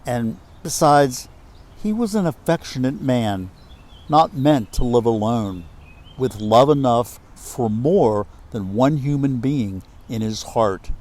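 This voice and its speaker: Slow, mellow-voiced man reading a story. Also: mid-range voice, lively intonation. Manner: measured, deliberate phrasing with pauses. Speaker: reader of a literary myth. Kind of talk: expressive storytelling, reading prose aloud.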